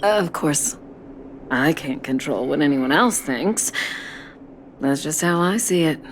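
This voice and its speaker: A woman's voice: low voice, animated, slow pace, slight background noise. A woman playing a bitter, skeptical patient in a video game, blunt and dismissive with small hesitations. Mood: bitter, resigned. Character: bitter, skeptical adult patient, cynical about a doctor.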